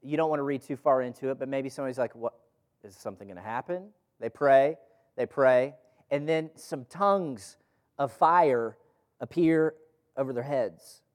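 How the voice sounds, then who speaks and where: A man's voice: medium pitch, moderate pace. A man leading a group Bible study in an informal, conversational preaching style, asking rhetorical questions and repeating phrases for emphasis. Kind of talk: informal teaching sermon with scripture reading. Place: room with a group gathered.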